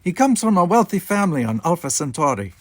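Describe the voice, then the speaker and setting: A man's voice: fairly high pitch, very animated, fast talker, dry recording, voice pushed up. A man voicing a stern male Russian starship officer in an audiobook, with a Russian accent and a matter-of-fact, instructing manner. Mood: matter-of-fact, critical.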